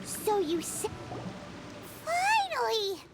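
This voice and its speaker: A woman voicing a tiny, excitable floating fairy companion with a squeaky, childish way of talking, in a video game. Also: very slow, vocal fry, heavily acted. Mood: excitable, relieved. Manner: squeaky, speaks of self in third person. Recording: music behind.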